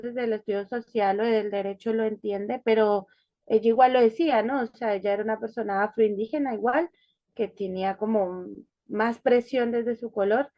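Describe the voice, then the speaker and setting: Woman, higher voice, thoughtful. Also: no room sound, muffled recording. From Colombia, Bogotá. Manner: thoughtful, hesitant, many fillers and restarts. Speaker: interview guest. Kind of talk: conversational life-story interview.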